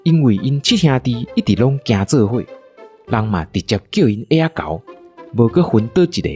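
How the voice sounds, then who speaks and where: A woman's voice: very deep voice, very animated, moderate pace, darkish tone, faint music behind. A woman with a Taiwanese accent hosting and narrating a playful scripted story. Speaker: podcast host and storyteller.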